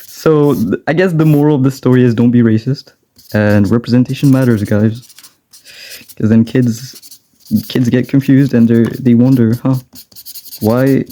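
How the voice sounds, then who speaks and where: A man's voice: mid-range voice, unhurried, mellow, poor audio. A young man from France, chatting in a rambling way and laughing often while he draws for a vlog. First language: French. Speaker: drawing vlogger. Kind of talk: casual rambling chat while drawing.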